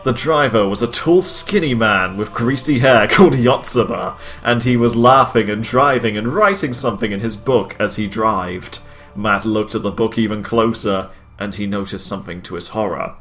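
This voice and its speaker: Guy reading a silly story out loud, amused, dramatic, music playing behind him. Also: on the low side, moderate pace. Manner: amused, breaks into laughter while reading. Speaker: host reading fan fiction aloud. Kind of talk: comic dramatic reading with asides. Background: background music.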